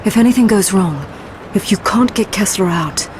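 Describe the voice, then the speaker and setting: A woman's voice: mid-range voice, lively intonation, normal speed, slight background noise, lightly acted, rough recording. A woman playing a poised, sardonic English spy with an upper-class accent in a video game cutscene. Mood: cool, commanding. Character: cool seductive aristocratic English female spy, ruthless. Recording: loud music behind the voice.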